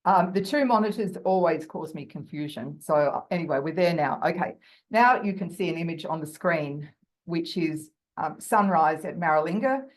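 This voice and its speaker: Croaky aussie woman giving a lecture on a video call, lowish voice, lots of ums and restarts. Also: expressive, moderate pace. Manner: thoughtful, many fillers and restarts. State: croaky from bronchitis. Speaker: guest lecturer. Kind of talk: academic talk over video call.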